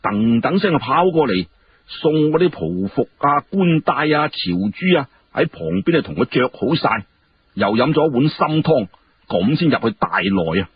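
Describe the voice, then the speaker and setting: Male storyteller, colloquial and dramatic, very varied pitch, dull radio-like sound. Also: moderate pace, slight background noise. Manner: animated, colloquial, conversational asides. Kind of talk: dramatic Cantonese audiobook storytelling.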